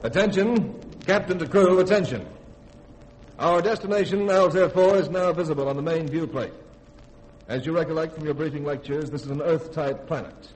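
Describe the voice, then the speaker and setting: Actor as commanding starship captain, noisy old radio. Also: male voice, a little high, moderate pace, a little mellow, natural voice. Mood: commanding, formal. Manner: clipped, authoritative orders. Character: brisk male starship captain, commanding and businesslike. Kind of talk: 1950s radio drama acting. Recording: noisy 1950s radio broadcast recording.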